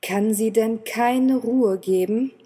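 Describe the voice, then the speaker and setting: A woman's voice: on the high side, takes it slowly, dark tone, slightly rough. A woman voicing a cold, sulky young earl who speaks curtly and sarcastically.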